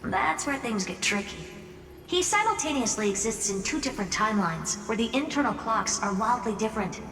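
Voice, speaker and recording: A woman's voice: a little high, big pitch swings, brisk, a bit bright. A woman playing a shrewd genius in a video game, talking in an informal, knowing way. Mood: casual, knowing. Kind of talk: video game story acting. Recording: distant, reverberant hall, music behind.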